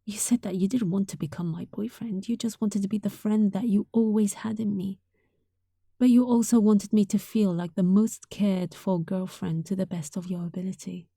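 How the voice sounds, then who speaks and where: A woman's voice: medium pitch, steady tone, moderate pace, dry recording. A woman playing a sweet, affectionate young catgirl roommate in an intimate roleplay, speaking tenderly and breathily, often close to a whisper. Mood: earnest, wistful. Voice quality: soft, breathy. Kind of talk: intimate roleplay audio, softly acted monologue.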